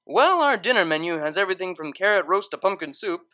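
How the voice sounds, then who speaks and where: A woman's voice: lowish voice, expressive, quick pace, fairly bright tone. A woman voicing a sly, knowing male stallion waiter who speaks politely and insinuatingly. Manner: smooth-talking, knowing, discreet.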